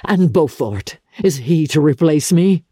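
A woman narrator acts a lovesick gentleman of old New York, bitter and jealous. He is intense and sneering, with a dark, husky tone suited to a dramatic classic novel reading. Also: low-pitched, expressive, a little slow, no echo, clean studio sound, heavily acted. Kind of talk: dramatic audiobook character reading.

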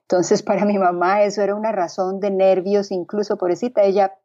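A woman's voice: medium pitch, flat delivery, a little fast, mellow. A woman from Colombia and Costa Rica, a podcast guest telling her story conversationally over a remote call. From born in Colombia, grew up there and in Costa Rica. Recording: dull lo-fi remote connection.

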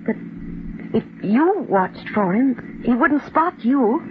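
An actress playing a guarded, anxious gangster's wife in a 1950s radio drama, speaking in a measured, earnest way. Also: female voice, high-pitched, sing-song, exaggerated voice. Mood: anxious, pleading. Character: guarded, anxious wife of a killer, cool femme fatale. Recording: tinny, noisy 1950s radio recording.

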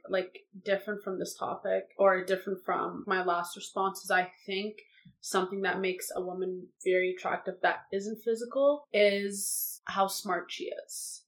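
A woman's voice: mid-range voice, rough recording. A woman in her twenties, a guest in a casual chat in a living room, talking in an unfiltered, assertive way with lots of "like". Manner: talkative, unfiltered, heavy use of "like"; assertive, loud delivery.

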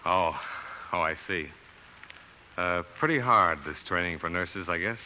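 Earnest, low-voiced young science student. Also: male voice, unhurried, bright, forceful tone, slight background noise, heavily acted, poor audio. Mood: awkward, eager. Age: young adult. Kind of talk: 1940s radio acting and narration. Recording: vintage radio with musical underscore.

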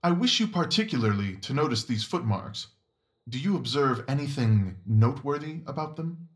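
A man playing a brisk, commanding, observant gentleman detective in an audio drama, with clipped, formal, instructive phrasing. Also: medium pitch, lively intonation, natural voice. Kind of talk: audio drama acting of Victorian story.

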